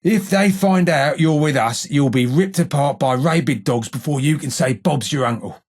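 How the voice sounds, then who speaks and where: A man voicing a gruff, foul-mouthed, sardonic old London gangster ghoul in a video game, with a husky voice and salty Cockney slang. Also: a little high, normal speed. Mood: sardonic, dismissive. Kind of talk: video game dialogue acting.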